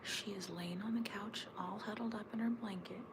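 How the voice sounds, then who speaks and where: A woman hosting a casual morning livestream from home, talking in a hushed half-whisper while others sleep, rambling and joking as she reads the chat. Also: medium pitch, steady tone, normal speed, noisy background. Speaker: livestream host reading chat. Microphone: phone or camera on a tripod.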